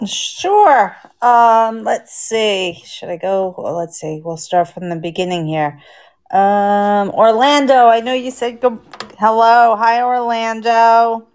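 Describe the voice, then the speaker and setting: A woman's voice: on the high side, darkish tone, smooth. A woman with a New Jersey accent co-hosting a live podcast, casually reading out and answering listener comments. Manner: unhurried, reads out listener comments. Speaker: podcast co-host.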